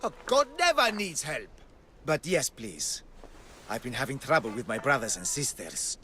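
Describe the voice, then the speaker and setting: A theatrical self-proclaimed god, lively pitch. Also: male voice, a little hiss or hum. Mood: grandiose, wistful. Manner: theatrical, grandiose, dramatic pauses. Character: eccentric self-proclaimed god, a theatrical male Greek philosopher. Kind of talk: video game character acting.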